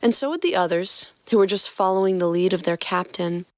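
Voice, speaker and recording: A woman's voice: medium pitch, animated, fairly quick, dry recording, clean studio sound. A woman podcast co-host who reads fan fiction aloud and trades playful banter with her partner.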